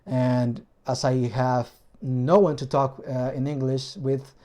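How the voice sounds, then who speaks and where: A man with a Spanish accent, Spanish as his first language, casually explaining a hobby engine build in a vlog and often pausing to find words in his second language. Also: medium pitch, flat delivery, mellow.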